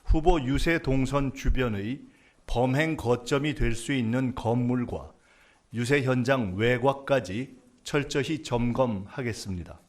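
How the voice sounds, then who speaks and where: A man's voice: mid-range voice, unhurried. Male official, middle register, formal scripted political statement. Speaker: party politician.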